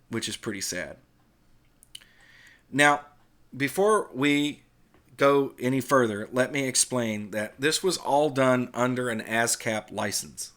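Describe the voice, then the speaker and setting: Casual tutorial host: American male, middle-aged, medium pitch, unscripted and explanatory delivery. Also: takes it slowly, a bit bright. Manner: conversational, explanatory. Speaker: video host, former radio worker.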